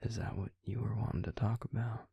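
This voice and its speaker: A very husky, low-voiced vampire with a Southern drawl, acted by a man, murmurs close and dry in an intimate audio roleplay. He is gentle and protective, with a teasing charm, and takes his time over every phrase. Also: level tone, dry recording, highs cut off, heavily acted. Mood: wry, curious. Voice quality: breathy, gravelly. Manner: unhurried, soothing, half-whispered. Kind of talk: intimate scripted audio roleplay, addressing the listener.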